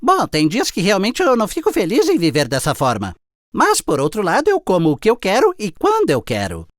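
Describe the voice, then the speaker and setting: A woman's voice: mid-range voice, huge pitch swings, brisk, dry recording, clean studio sound. A woman with a Brazilian accent voicing a boastful, disdainful male city mouse in a children's cartoon fable. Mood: matter-of-fact.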